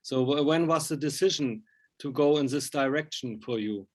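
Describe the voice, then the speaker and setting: A man's voice: mid-range voice, moderate pace. A man who is a native German speaker, asking conversational interview questions and hesitantly searching for words.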